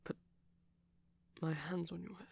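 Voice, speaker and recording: A woman playing a gentle, doting vampire boyfriend in a soft-spoken romantic ASMR roleplay, tender and lightly teasing, often whispering close to the microphone. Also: medium pitch, fairly even intonation, a little slow, gravelly, husky, heavily acted. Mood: tender, soothing.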